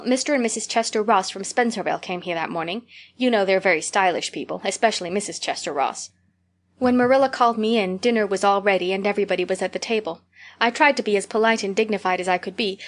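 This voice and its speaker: A woman's voice: mid-range voice, lightly acted. A woman reading aloud, voicing an imaginative, talkative little girl who gushes breathlessly. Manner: gushing, breathless storytelling. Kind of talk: audiobook character reading.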